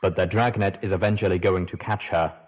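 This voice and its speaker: A man voicing a male helper who talks through a sprite robot, in a mechanical monotone, for a storybook reading. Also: low voice, brisk. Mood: flat.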